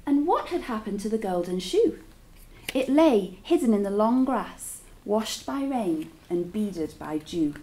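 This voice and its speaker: A woman reading a story aloud to children as a teacher, in an expressive, deliberate storytelling style with drawn-out words. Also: medium pitch.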